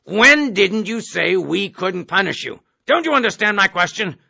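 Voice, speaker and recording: A man's voice: high-pitched, big pitch swings, normal speed, no echo, studio quality, pitched above the performer's own voice, exaggerated voice. A man reading an audiobook, voicing a bloated, bullying army colonel who bellows and browbeats. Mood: hectoring. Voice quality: gravelly raspy roar. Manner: hectoring, shouting, browbeating. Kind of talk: audiobook character voice.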